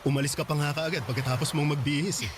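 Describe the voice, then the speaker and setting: A man's voice: fairly high pitch, steady tone, fast talker, natural voice. A man acting a kind young man in a radio drama, careful and apologetic. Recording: audible background hiss.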